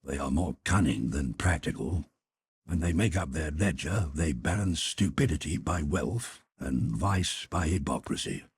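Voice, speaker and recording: A man's voice: rumbling low, expressive, a little slow, husky, no echo, heavily acted. A man reading a novel aloud, voicing a cynical, worldly English lord who drawls out clever sayings. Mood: languid. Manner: languid, worldly, drawling aphorisms. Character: cynical epigram-loving English lord, middle-aged. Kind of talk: audiobook reading.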